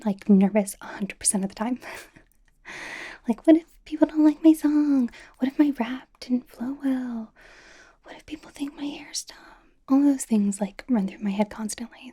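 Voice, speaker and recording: A woman plays a young female K-pop idol in an ASMR roleplay. Her voice is a breathy whisper, soft and mellow, edging higher when voiced. She rambles in a nervous, bubbly, supportive way, hesitant but reassuring. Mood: sheepish, reassuring.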